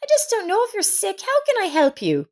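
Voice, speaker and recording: A woman's voice: very high-pitched, huge pitch swings, a little fast, voice pushed up, full character voice. A woman voicing a kind, insistent female neighbor in a story, with emphatic, concerned delivery. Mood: concerned, questioning.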